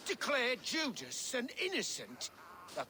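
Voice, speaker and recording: A zealous, domineering monk from a video game. His voice is high, very sharp and forceful, drawn out slowly with huge swings of pitch. He sounds pompous and accusing. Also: huge pitch swings, heavily acted. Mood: outraged.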